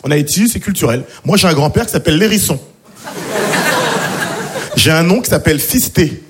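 A man in his thirties performs conversational stand-up. His voice is high-pitched for a man, and he tells stories to a clearly audible live audience. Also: expressive, moderate pace, a loud crowd. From France, Paris suburbs. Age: 30s. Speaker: stand-up comedian. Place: comedy stage in front of audience. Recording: live show with audience laughter.

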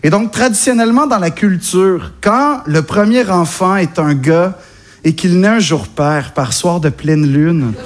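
A man's voice: high-pitched, noisy background. A young Québécois man doing a self-mocking stand-up comedy set on stage, chatty and full of hesitations. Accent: Québécois French. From Quebec, Canada. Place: stage before a live audience. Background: audience laughter.

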